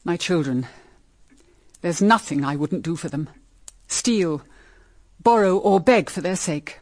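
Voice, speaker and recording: An actress playing an anxious, brooding middle-aged British mother in a period radio drama, with grave, measured, dramatic phrasing. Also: lowish voice, expressive, a little mellow, no room sound. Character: anxious, brooding mother of two grown daughters.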